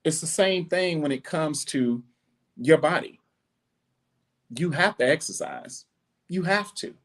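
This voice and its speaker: A middle-aged man hosting a podcast, giving a blunt, preachy monologue with animated asides. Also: a little high, measured pace. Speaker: podcast host. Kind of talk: casual monologue.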